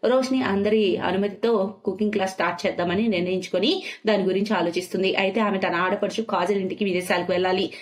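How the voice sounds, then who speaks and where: A woman narrates a scripted moral story in a medium-pitched voice. She works as a storyteller, presenting the tale as narration. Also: steady tone, fast talker.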